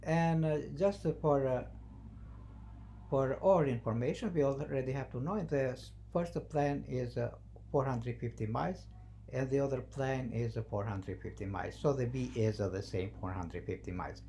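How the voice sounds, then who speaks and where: A non-native English speaker teaching math, thinking aloud haltingly and repeating phrases while writing out the steps. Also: medium pitch, dark tone. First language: not English. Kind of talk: math lesson, working through a problem at the board. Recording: noisy, with a constant hum.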